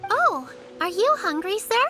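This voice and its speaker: A sensible little girl in a video game, with extremely high, bright tones. She is courteous and caring, instructing gently like someone older than her years. Light music sits behind her. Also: very animated, unhurried, exaggerated voice. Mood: hospitable, caring.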